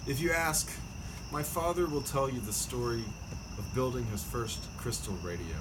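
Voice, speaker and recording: A man's voice: medium pitch, big pitch swings, normal speed. A man who hosts as a poet, moving between reading verse and casual chat. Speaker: poet and podcast host. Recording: noisy outdoor ambience with chirping crickets. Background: crickets and insects.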